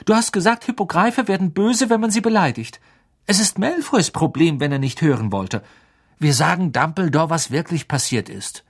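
A man's voice: a little high, animated, normal speed, breathy edge, voice pushed up. A man voicing a loyal, indignant young schoolboy in an audiobook, with a direct, forthright manner. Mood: insistent.

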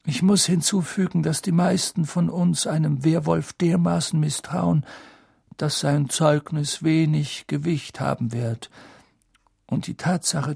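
A man reading an audiobook, voicing an old, wise wizard headmaster who speaks in a measured, grave and sometimes hushed way. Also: vocal fry, breathy edge, dry recording, studio quality, heavily acted. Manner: measured, low, at times hushed.

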